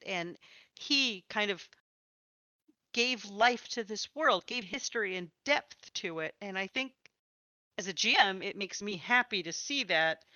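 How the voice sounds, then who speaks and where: A woman's voice: mid-range voice, pushed and bright, dry recording, highs cut off. A woman hosting a casual interview about tabletop games, talking in an encouraging, reassuring manner. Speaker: podcast host and interviewer, also a game master.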